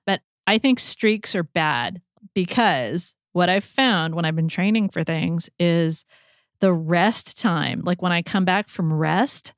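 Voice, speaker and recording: A woman's voice: on the low side, lively intonation, slow pace, no echo, clean studio sound. A woman hosting a podcast in a casual, conversational style. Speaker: podcast host. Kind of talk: casual chat.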